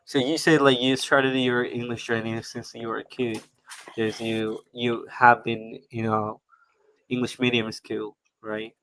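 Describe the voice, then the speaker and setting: Halting man hosting a live stream. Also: mid-range voice, little pitch movement, fairly bright tone, poor audio. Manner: halting, repeats words, non-native grammar. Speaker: host. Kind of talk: casual live-stream chat.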